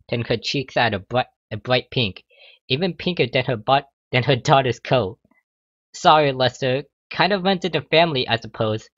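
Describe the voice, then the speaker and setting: A man's voice: mid-range voice, fairly bright tone, dry recording, muffled recording. A man narrating a scripted fan fiction story, sometimes stumbling and rereading a phrase.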